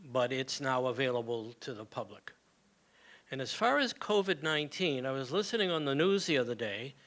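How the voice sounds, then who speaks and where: A man's voice: medium pitch, fairly bright tone. An older man giving a plain, practical health talk to a community gathering, speaking conversationally. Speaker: community moment presenter. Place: meeting hall with audience.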